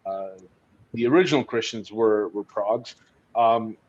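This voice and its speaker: A man discussing history conversationally on a podcast, speaking haltingly as he thinks aloud. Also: lowish voice, darkish tone. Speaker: podcast host discussing books. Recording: dull, lo-fi audio.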